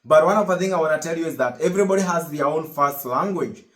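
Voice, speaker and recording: A young man with a Kenyan accent talks straight to his viewers, his voice sitting a little high for a man. He rambles, circles back and restarts phrases as he goes. The sound bounces around a reverberant room. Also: fast talker, fairly bright tone. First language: Kikuyu. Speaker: vlogger. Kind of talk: casual motivational talk to camera.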